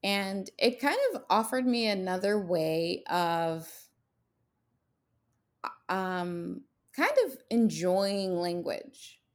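A woman's voice: on the low side, extremely slow. A woman whose first language is Haitian Creole, co-hosting a podcast and talking with passion and emphasis. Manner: passionate, emphatic, rhetorical questions. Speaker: podcast co-host. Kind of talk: casual podcast conversation.

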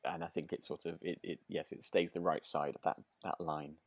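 A man's voice: low-pitched, level tone, fairly quick, no room sound. A man co-hosting a podcast, chatting casually in a hesitant, rambling, agreeable way. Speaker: podcast co-host. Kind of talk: casual chat about a radio drama.

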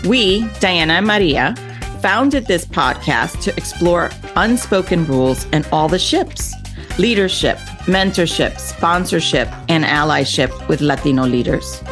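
A woman co-host reading the show's opening in a measured, announcer-like way. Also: fairly low pitch, lively intonation, a bit bright. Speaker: podcast co-host. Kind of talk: scripted show opening. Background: faint music.

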